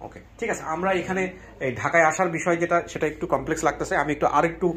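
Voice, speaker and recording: A Bangladeshi man with a fairly high voice talks very fast as he hosts an interview. He presses with question after question, reasoning step by step and insisting on clear answers. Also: bright, forceful tone. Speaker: host of a real-life family reunion show.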